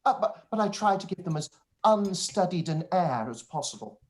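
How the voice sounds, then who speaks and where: A woman playing a pompous, obsequious male clergyman in a period radio play, halting and formal. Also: on the low side, expressive, lightly acted. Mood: self-satisfied. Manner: halting, self-satisfied, formal.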